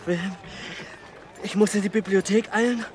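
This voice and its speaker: An actor playing a harried, hard-working scholar in a children's radio play, effortful and easily exasperated. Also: male voice, sits high, fairly even intonation, moderate pace, darkish tone, a little hiss or hum, close to an everyday voice. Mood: urging, unsure.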